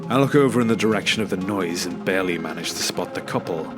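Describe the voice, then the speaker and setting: A man's voice: sits low, fast talker. A man reading a scripted first-person scary story as a narrator. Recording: background music under the voice. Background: music.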